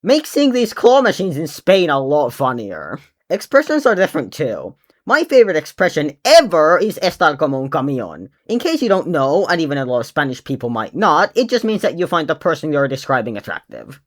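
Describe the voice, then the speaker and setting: Young adult, native Finnish speaker, casual vlog, sarcastic, blunt, very animated pitch. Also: a little fast. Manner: sarcastic, blunt, swears when ranting. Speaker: video creator.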